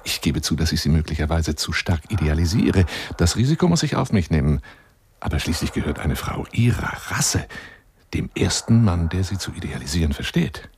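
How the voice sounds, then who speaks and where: A man's voice: low voice, sing-song, normal speed, darkish tone, a little hiss or hum. An actor in a radio monologue playing a florid, wheedling lion hunter who courts a woman over the phone in an intimate, theatrical way. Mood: infatuated, florid. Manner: grandiloquent, wheedling, intimate, dropping into whispers.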